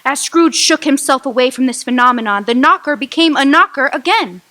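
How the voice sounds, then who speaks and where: A woman narrating a radio drama in a measured, suspenseful storytelling way. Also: sits high, expressive, bright, forceful tone.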